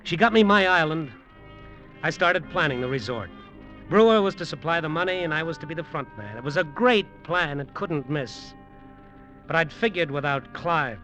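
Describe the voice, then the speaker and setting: An actor playing a scheming, embittered hustler in an old radio drama, talking in hard-boiled slang. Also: male voice, on the high side, expressive, moderate pace, pushed and bright, rough recording. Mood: wry, brooding. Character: scheming, embittered hustler narrating his island venture. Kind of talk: 1940s-50s radio drama acting and first-person narration. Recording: old radio broadcast recording, music behind.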